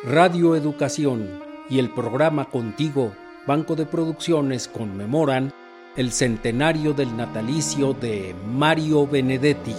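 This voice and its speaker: Male announcer, formal, measured, mid-pitched, violin music behind.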